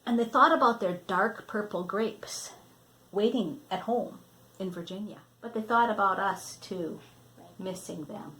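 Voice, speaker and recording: A woman's voice: mid-range voice, measured pace, a bit bright, poor audio. An older woman reading a children's storybook aloud, expressively, drawing out words for emphasis. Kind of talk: read-aloud storybook narration.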